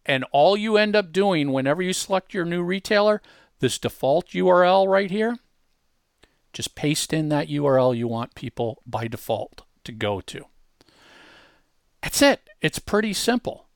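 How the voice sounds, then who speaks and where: Man giving a casual, dry-sounding software walkthrough. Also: fairly high pitch, lively intonation, moderate pace, vocal fry, smooth, dry recording. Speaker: presenter demonstrating a web tool.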